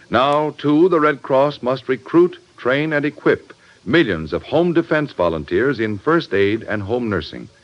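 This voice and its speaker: A man announcing scripted 1950s radio ads and appeals in an emphatic, polished style. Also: medium pitch. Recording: dull, band-limited old radio recording.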